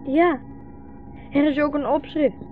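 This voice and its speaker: Young boy, high-pitched, earnest, trusting. Vintage radio play with muffled sound. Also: steady tone, a little slow. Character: young boy, hungry, footsore, trusting guide. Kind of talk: 1960s radio play acting. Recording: muffled, hissy 1960s radio recording.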